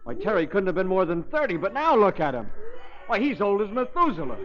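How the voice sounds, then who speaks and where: A plain-spoken, slangy pilot in a 1930s radio serial. His voice is fairly high and very animated. The old recording is muffled, with some noise. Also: fairly quick. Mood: startled. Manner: folksy, slangy. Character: plain-spoken, excitable American male airplane pilot. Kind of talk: 1930s radio drama acting.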